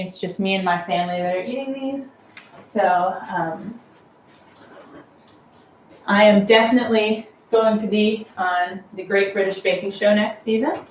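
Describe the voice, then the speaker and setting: Casting: woman, home baking host, slow and chatty explainer, recorded at a distance from the mic. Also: mid-range voice, lo-fi sound. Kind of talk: casual unscripted how-to demonstration. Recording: roomy, distant mic with clear background noise.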